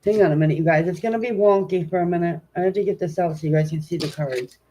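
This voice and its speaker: A woman with a stuffy, congested nose hosting a tarot reading live stream at home, chatting informally with viewers and filling pauses with "um". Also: fairly low pitch, warm tone. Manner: informal and chatty, many "um" fillers, greets viewers. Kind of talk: casual live-stream chat and card reading.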